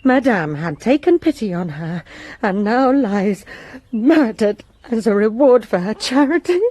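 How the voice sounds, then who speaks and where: A woman's voice: fairly high pitch, animated, a little slow, darkish tone, dry recording. A woman playing a bitter lady's maid. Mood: resentful. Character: resentful French lady's maid testifying. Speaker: maid giving testimony. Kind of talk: radio drama acting.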